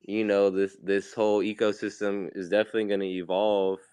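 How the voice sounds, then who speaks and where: A laid-back man with a cold, his voice congested but smooth and a little low. He chats as a guest and is full of fillers. The sound is close and dry. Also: level tone, brisk, a little mellow, no echo. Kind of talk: casual crypto chat.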